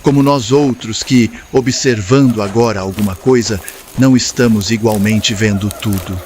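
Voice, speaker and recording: A man playing a wise, calm spirit mentor, lecturing in a formal, measured, didactic way as in an audiobook. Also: on the low side, a little hiss or hum, close to an everyday voice. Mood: solemn, instructive. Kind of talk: scripted audiobook dialogue, lecturing.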